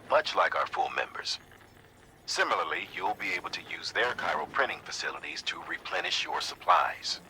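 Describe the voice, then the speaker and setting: An authoritative official briefing a courier in a game. His deep voice is very sharp and forceful, formal and explanatory, with some noise behind it. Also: low-quality recording. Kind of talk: expository video game briefing.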